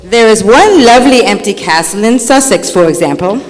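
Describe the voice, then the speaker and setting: Lady acting a proper formal mediator, lots of pitch movement, stage radio play, hall echo w faint noise. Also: lively intonation, moderate pace, natural voice. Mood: coaxing. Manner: formal, genteel, persuasive. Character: polite, proper, conciliatory lady presiding over a hearing. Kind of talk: old-time radio drama acting on stage.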